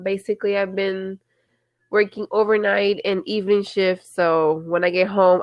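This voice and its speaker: A woman's voice: mid-range voice, no echo. Congested young woman, dry close sound. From Puerto Rico. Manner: playful, laughs, switches into Spanish, swears. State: congested from allergies. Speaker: podcast co-host. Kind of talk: casual chat.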